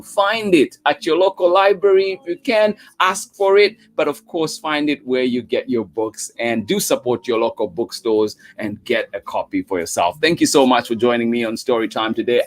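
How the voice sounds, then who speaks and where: A man hosting a children's story time stream, cheerfully greeting viewers by name and thanking them again and again. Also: mid-range voice. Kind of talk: live-stream chat with viewers after a read-aloud. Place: Oakland.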